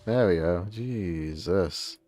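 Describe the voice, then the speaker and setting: A woman's voice: a bass voice, takes it slowly, mellow. A woman game streamer giving casual live commentary, muttering to herself as she plays. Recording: game music behind the voice. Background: video game music.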